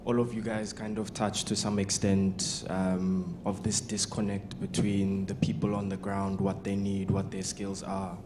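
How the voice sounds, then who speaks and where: A man from South Africa stands up to ask the panel a question in a very deep, flat voice. He hesitates and searches for his words as he goes. Noise hums along behind him. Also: unhurried, few pauses, over music. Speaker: audience member. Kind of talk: asking a question.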